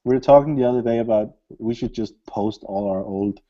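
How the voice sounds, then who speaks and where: A man's voice: mid-range voice, a little fast, muffled recording. A man, a music producer, chatting casually in an interview and rambling with lots of fillers. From Denmark. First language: Danish. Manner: relaxed, rambling, many fillers and laughs. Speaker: electronic music producer, guest.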